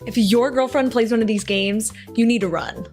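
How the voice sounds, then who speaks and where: A woman's voice: a little high, fairly even intonation, normal speed, a little mellow, faint music behind. A woman in a short social video, giving teasing, mock-serious warnings.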